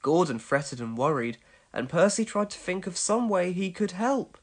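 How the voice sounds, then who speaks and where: A man giving an expressive, scripted narration of a children's storybook read-along. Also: high-pitched, normal speed.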